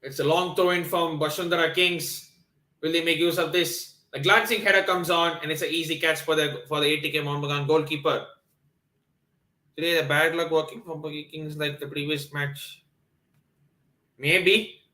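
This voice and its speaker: A man's voice: a little high, normal speed, echoey room, rough recording. A man from Nepal hosting a football watchalong stream, commenting on the match and answering viewers with many fillers and restarts. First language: not Hindi. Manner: excitable, lots of fillers and restarts. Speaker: livestream watchalong host.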